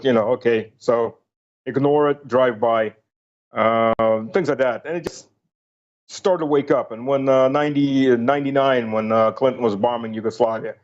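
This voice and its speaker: Halting man, Russian speaker, muffled audio. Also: medium pitch. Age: middle-aged or older. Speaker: interview guest, military veteran. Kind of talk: conversational personal recollection and analysis.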